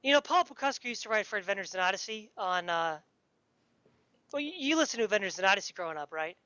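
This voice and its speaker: A woman's voice: medium pitch, expressive, fast, sharp tone, no echo. A woman hosting a casual podcast interview in an informal, enthusiastic way. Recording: dry, dull lo-fi audio.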